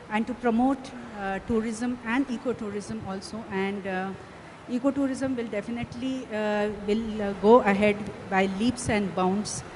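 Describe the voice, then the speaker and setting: Indian woman panelist, halting speech. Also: medium pitch. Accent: Indian English. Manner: halting, frequent fillers. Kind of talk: unscripted panel remarks. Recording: noticeable background noise.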